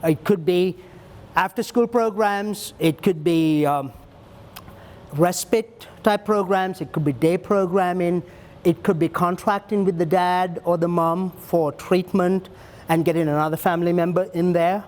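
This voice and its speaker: Earnest high-voiced man answering questions. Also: steady tone, a little slow, a little mellow. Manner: earnest, thinks aloud with fillers and restarts. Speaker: child welfare leader answering audience questions. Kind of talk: panel Q&A, conversational.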